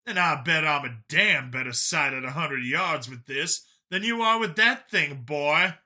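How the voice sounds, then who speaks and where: A man puts on a cocky medic and hunter for an audiobook. The voice rides high and swings widely, with a sharp, forceful edge. He is combative and folksy, prone to exclaiming. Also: expressive, a little slow, heavily acted. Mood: heated boasting. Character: brash, cocky older human medic and hunter, male. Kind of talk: acted audiobook dialogue.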